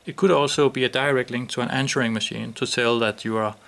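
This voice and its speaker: Gravelly man demonstrating a product. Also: mid-range voice, fairly even intonation, fast, a bit bright.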